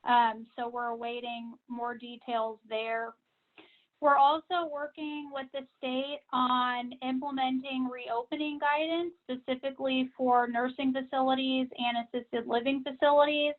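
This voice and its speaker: A woman with a high voice speaks over a thin, tinny phone connection. Her intonation stays flat and even as she gives an informational briefing on a conference call. The delivery is measured and businesslike, like a staff member updating members. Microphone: phone line. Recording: tinny, narrow-band phone line.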